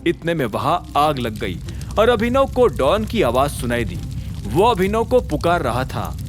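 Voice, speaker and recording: Male storyteller for serial audio drama: expressive, suspenseful, highish pitch, highly varied intonation. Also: a little slow. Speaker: narrator. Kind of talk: scripted dramatic story narration. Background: background music.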